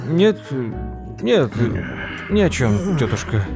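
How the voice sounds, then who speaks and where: A man's voice: on the high side, very animated, takes it slowly, over loud music, heavily acted. An actor playing a nervous nephew who narrates the story of his uncle in an audio drama. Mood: flustered.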